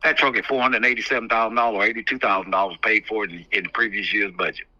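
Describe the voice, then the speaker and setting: A man's voice: on the low side, fairly even intonation, fast talker, sharp tone, dry recording. A man giving candid, emphatic advice on a phone call, repeating phrases to drive his point home. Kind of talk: candid phone conversation, advising. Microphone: telephone. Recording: muffled, band-limited phone call.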